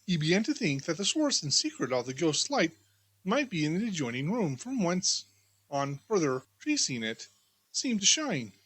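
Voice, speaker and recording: A woman storybook reader giving scripted, expressive audiobook narration of a classic tale. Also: on the low side, normal speed, slightly rough, dry recording, rough recording.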